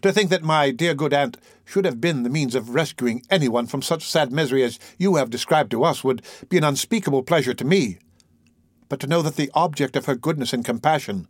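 A man voicing a kind, well-bred young lady in an audiobook, tender and measured. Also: medium pitch, no echo, clean studio sound, close to an everyday voice. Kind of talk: audiobook character voice.

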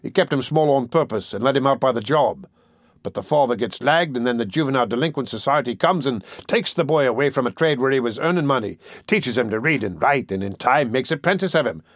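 A man voicing a surly, short-tempered burglar in an audiobook, blunt and scornful, with rough slang. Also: mid-range voice, lively intonation, a little fast, no echo, clean studio sound, natural voice. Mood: irritated. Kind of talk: audiobook character voice.

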